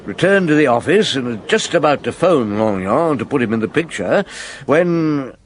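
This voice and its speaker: An actor playing a seasoned, wry police chief inspector telling of old cases in a conversational radio drama. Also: male voice, mid-range voice, animated, moderate pace, slight background noise, natural voice. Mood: wry, recounting.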